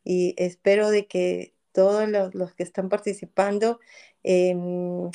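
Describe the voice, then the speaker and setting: Woman therapist guest, dark gravelly voice, earnest and halting. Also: on the low side, flat delivery. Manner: earnest, hesitant, repeats words. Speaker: guest psychologist. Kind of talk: interview talk.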